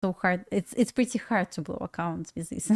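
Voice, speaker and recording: A young woman from Belarus with a Russian accent, a native Russian speaker, hosting a casual trading livestream and chatting to viewers with frequent laughs and halting restarts. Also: on the low side, a little mellow.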